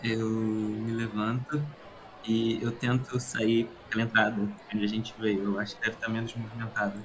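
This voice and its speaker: A man's voice: fairly low pitch, flat delivery, normal speed, a little hiss or hum, low-quality recording. A man playing a tabletop RPG, casually stating his character's actions in first person.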